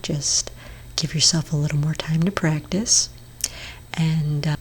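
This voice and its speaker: A woman playing a gentle, reassuring witch therapist in an ASMR roleplay, speaking in a soft, breathy whisper with hesitant pauses. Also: sits low, rough recording. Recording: clearly audible background noise.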